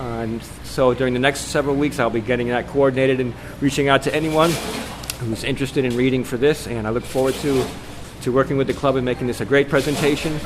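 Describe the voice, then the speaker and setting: A man speaking as a play director at a club meeting, giving a polite, hesitant, unscripted announcement into a camera microphone at a distance. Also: medium pitch. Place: indoor club meeting room. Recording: noisy room through a camera microphone.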